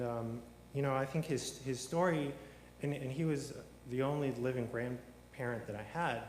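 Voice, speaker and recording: A man's voice: mid-range voice, darkish tone, slight background noise. A man speaks at a lectern in a large sanctuary, loose and rambling, full of ums, restarts and dry little jokes. The room is echoey with a long reverb, and faint ambience sits underneath. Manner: rambling, many ums and restarts, wry humor. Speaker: congregant telling his conversion story. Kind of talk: informal testimony at a service.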